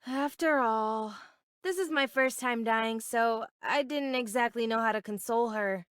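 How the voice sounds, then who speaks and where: An actress playing an easygoing, self-deprecating young street urchin turned soldier in a video game, with casual, flippant bravado. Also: female voice, fairly high pitch, measured pace, fairly bright tone, clear, resonant tone, no room sound, studio quality. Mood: resigned, reflective.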